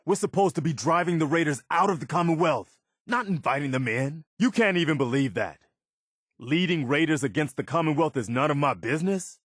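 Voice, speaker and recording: An actor playing an earnest, principled militia officer in a video game, sincere and plain-spoken, loyal yet easily disappointed. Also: male voice, a little high, animated, a little fast, a bit bright, no echo, clean studio sound. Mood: disappointed, stern. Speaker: video game companion character.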